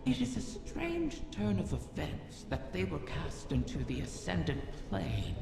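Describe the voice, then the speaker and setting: An actor voicing an ancient, cunning wish-dragon in a video game, speaking in slow, insinuating, theatrical questions. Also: medium pitch, huge pitch swings, creaky, full character voice. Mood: sly, insinuating. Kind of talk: scripted video game character dialogue. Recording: long cavernous reverb, music underneath.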